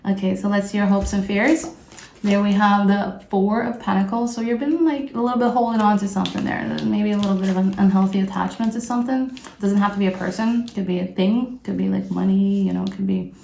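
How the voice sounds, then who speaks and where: A woman's voice: medium pitch, creaky, darkish tone. A woman from Brazil giving a casual, upbeat tarot reading, talking with lots of fillers. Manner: chatty, upbeat, many "like" fillers. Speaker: tarot reader. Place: sunny room with plants. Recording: echoey room, faint noise.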